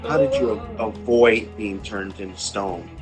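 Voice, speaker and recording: A man's voice: on the low side, measured pace, over music. A man taking part in a tabletop roleplaying game, casually improvising what his character says and does.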